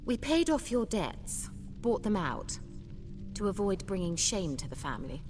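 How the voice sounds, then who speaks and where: A woman's voice: medium pitch, big pitch swings, unhurried, long reverb tail, over music. An actress playing a prim, disapproving middle-aged noblewoman mother in a fantasy game. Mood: scornful. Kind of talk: dramatic video game acting.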